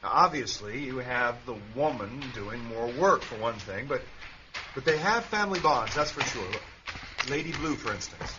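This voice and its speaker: A man playing a suspicious, brooding astronaut doctor in a radio play, speaking in halting, broken phrases. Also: medium pitch, animated, lo-fi sound, noisy background, close to an everyday voice. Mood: probing, reasoning.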